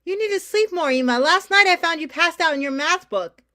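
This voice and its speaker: A woman's voice: very high voice, animated, brisk, sharp tone, exaggerated voice. A woman playing an anxious, coaxing mother in a staged reading of a drama, fussing and placating. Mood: gently nagging. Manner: pleading, fussing.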